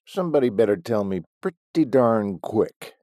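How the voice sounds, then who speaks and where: A man's voice: fairly low pitch, lively intonation, normal speed, dark tone, no room sound, clean studio sound, close to an everyday voice. A man voicing a stern, impatient middle-aged ranch boss who talks tersely. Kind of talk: audiobook character voice.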